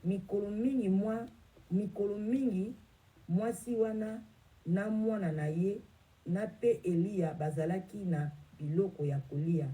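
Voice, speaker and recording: A woman reading a Bible passage aloud in a slow, measured way, now and then correcting herself. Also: medium pitch, mellow, poor audio. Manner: measured, careful reading with small self-corrections. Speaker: scripture reader.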